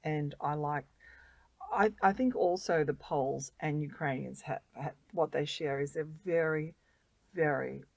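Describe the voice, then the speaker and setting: A middle-aged woman, speaking earnestly and warmly as a guest in a casual interview, sometimes repeating words as she gathers her thoughts. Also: sits low, unhurried, creaky, dry recording. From Australia. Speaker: guest volunteer.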